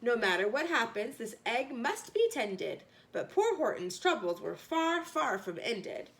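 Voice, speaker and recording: Female children's storyteller, fairly high-pitched, big melodic range, expressive and theatrical with rhyming text. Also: vocal fry, slight background noise. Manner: expressive, theatrical emphasis with drawn-out phrases. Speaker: storyteller reading a children's book. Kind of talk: animated rhyming storybook read-aloud.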